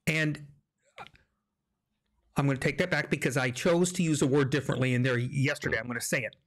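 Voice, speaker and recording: Halting, emphatic American man in his fifties. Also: medium pitch, steady tone, fairly bright tone. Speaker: discussion host. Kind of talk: casual religious discussion. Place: small indoor home studio, video call. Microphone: desk microphone up close.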